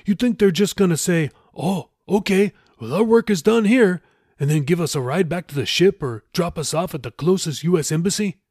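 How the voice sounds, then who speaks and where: Man playing a steadfast young sailor, husky voice. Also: sits high, normal speed, darkish tone, no room sound, studio quality, pitched above the performer's own voice. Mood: firm, reasoning. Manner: earnest, reasoning, slangy. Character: steadfast, reformed tough young male sailor.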